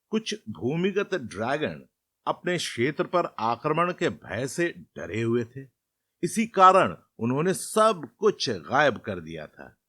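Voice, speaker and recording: A man narrating a scripted fairy tale as a storyteller, in a measured and expressive manner. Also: mid-range voice, no echo.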